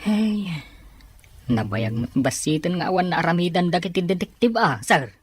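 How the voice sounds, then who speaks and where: A man's voice: high voice, expressive, normal speed, slightly rough. A man playing a respectful, concerned aide in an audio drama.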